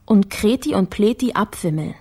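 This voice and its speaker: Radio play casting: sharp-witted woman investigator, terse and wry, husky dark tone. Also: mid-range voice, expressive, normal speed. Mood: reasoning aloud. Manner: terse, wry, thinking aloud. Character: shrewd, dry female investigative partner. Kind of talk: radio drama dialogue.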